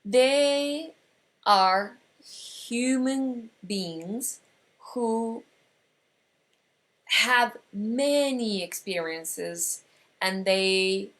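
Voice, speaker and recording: A woman from Argentina with an Argentine Spanish accent and Spanish as her first language, teaching an online course with slow explanations, frequent pauses and restarts. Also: fairly high pitch, fairly bright tone. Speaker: metaphysics teacher.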